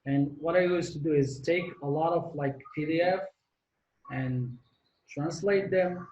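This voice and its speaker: A man's voice: on the high side, measured pace, darkish tone, dull audio. Moroccan man, rambling with echo. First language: not English; French second language. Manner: rambling, many fillers and restarts. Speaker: guest artist. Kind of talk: casual interview chat.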